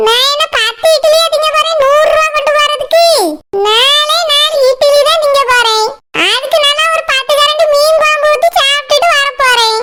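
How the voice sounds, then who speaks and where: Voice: very high voice, moderate pace, noisy background. A performer voicing a feisty, food-loving cartoon village woman who haggles and complains in a Kanyakumari Tamil accent. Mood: eager. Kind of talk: comic cartoon dialogue.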